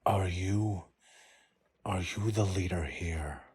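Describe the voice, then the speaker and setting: A man playing a wary, humble wolf-folk tribesman in an audio roleplay, hesitant and deferential, with a husky, breathy voice. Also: low-pitched, slow pace, a little mellow, heavily acted. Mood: hesitant, pleading. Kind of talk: ASMR roleplay acting.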